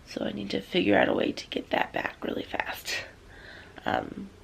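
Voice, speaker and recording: A young woman, a student teacher, chatting casually in a vlog, rambling with lots of "um" and "like", her voice slightly hoarse as she loses it. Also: on the low side, a little hiss or hum. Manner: rambling, full of "um" and "like".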